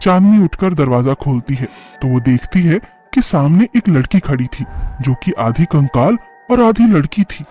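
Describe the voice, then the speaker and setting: Male narrator for scripted animated horror, mellow timbre, light music bed. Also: a little high, moderate pace. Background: faint music.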